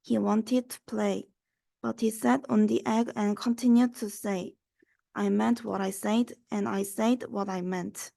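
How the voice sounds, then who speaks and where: A woman's voice: mid-range voice, fairly even intonation, measured pace, gravelly, warm tone, no echo. A young woman reading a children's rhyming story aloud. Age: young adult. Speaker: student reader.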